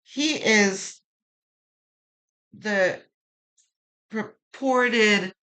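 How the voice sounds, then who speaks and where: A woman with a low voice that turns gravelly when quiet, slowly and reassuringly reading tarot cards and thinking aloud. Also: poor audio. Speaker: tarot reader.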